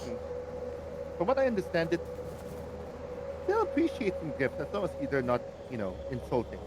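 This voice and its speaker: A man's voice: on the high side, huge pitch swings, normal speed, background noise. A man playing in a tabletop game, chatting casually and offering advice about lore. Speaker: player.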